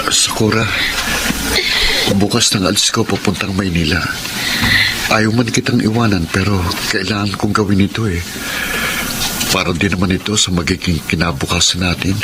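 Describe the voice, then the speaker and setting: An actor playing an earnest, tender teenage boyfriend in a romantic Filipino radio drama, soothing and sincere. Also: male voice, low voice, fairly even intonation, moderate pace, long pauses, heavy background noise, lightly acted. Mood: tender, regretful. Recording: loud background music under the voice.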